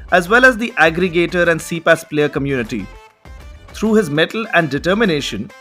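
A man's voice: on the high side, pushed and bright. Polished male podcast host, faint music. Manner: polished, welcoming introductions with frequent "you know". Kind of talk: podcast hosting.

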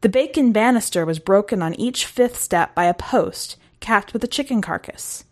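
A woman's voice: medium pitch, lively intonation, normal speed, a little mellow. A woman reading a first-person short story aloud in a conversational, wry way. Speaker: story narrator.

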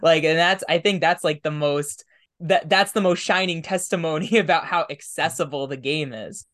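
A man's voice: a little high, moderate pace, fairly bright tone. A man hosting a podcast interview, talking casually in a thoughtful, reflective way. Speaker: podcast host.

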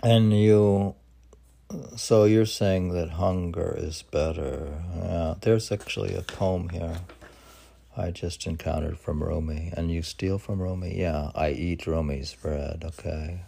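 A man in his sixties with a very low, creaky voice. He rambles casually and reads poetry, musing in halting fragments. The pace is very slow. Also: steady tone, warm tone. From United States. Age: 60s. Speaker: poet. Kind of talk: casual rambling talk mixed with poetry reading.

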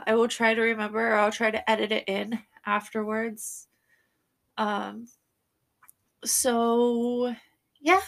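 Woman podcast host, stuffy and congested, slow and unsure, lots of ums and stretched vowels, gravelly fry on her words. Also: fairly high pitch, fairly bright tone. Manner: nervous, many fillers and drawn-out vowels; laughs between sentences. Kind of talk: casual podcast chat.

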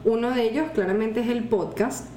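Calm Venezuelan woman in her twenties. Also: on the high side, moderate pace. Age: 20s. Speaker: podcast co-host. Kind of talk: casual chat. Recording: echoey room with faint noise.

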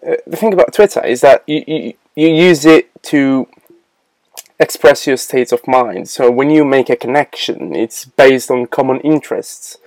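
A playful man from Italy co-hosts a casual podcast, his English British-sounding with a European tinge. He rambles and restarts his sentences often. His voice sits at a middle pitch and sounds dry and close. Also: a little mellow, no echo. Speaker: podcast co-host.

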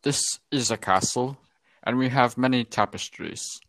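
A man's voice: medium pitch, unhurried, a bit bright, no echo. A man playing a skeptical, unimpressed castle butler with a stiff manner in an amateur film script reading.

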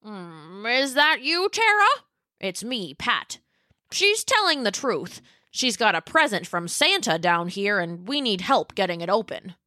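Woman doing a lazy low drawl dino dude, curious, takes his time. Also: sing-song, measured pace, sharp tone, no echo, clean studio sound. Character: curious young male pachycephalosaurus, easygoing.

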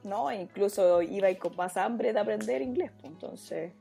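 Gravelly Chilean woman co-host. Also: medium pitch, normal speed, mellow, faint music behind. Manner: short agreeing interjections. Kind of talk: casual chat.

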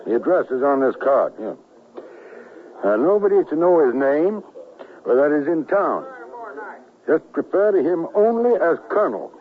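An actor playing a stern, commanding and menacing secessionist leader in a radio drama, speaking with oratorical authority. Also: male voice, on the high side, very animated, moderate pace, pauses often. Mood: conspiratorial. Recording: narrow-band vintage radio recording.

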